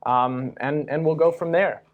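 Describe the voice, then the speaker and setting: A man's voice: fairly high pitch, a little slow, phone-quality sound. A young man, a university student, moderating a Q&A, politely laying out how the session will work and asking questions. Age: young adult.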